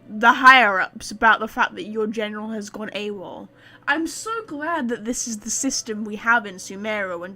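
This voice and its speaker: A woman game streamer with a highish, very bright voice. She talks casually to her chat and adds wry, informal commentary as she plays.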